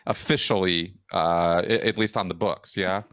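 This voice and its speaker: A man hosting a podcast interview, upbeat and brisk as he introduces and questions his guest. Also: low voice, fairly even intonation, a bit bright, smooth, no room sound, clean studio sound. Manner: brisk, upbeat, quick talker. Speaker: podcast host.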